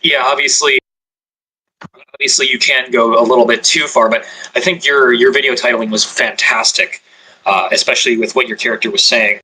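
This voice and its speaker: A man's voice: medium pitch, steady tone, normal speed, bright, forceful tone, breathy edge, low-quality recording. A man on a podcast panel giving casual tips on making content, chatty and loose. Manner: chatty, loose with filler words and restarts. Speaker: ASMR creator on a podcast panel.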